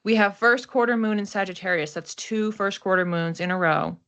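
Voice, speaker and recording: A woman's voice: medium pitch, normal speed, fairly bright tone, muffled recording. A woman giving a casual, unscripted card and astrology reading, thinking aloud in a reflective, conversational way. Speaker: oracle card reader and astrology host.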